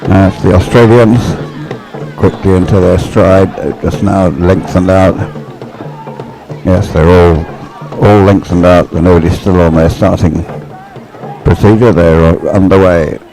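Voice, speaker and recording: A man's voice: sits low, fairly even intonation, a little slow, warm tone, faint music behind, a faint crowd, rough recording. A man giving live race commentary, explaining things in a hesitant, unscripted way. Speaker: sports commentator.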